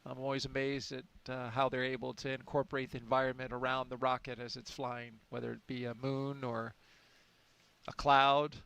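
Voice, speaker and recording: A man's voice: mid-range voice, little pitch movement, moderate pace, creaky, a bit bright, clean tone. A man giving live launch commentary in a chatty, enthusiastic way, with frequent fillers.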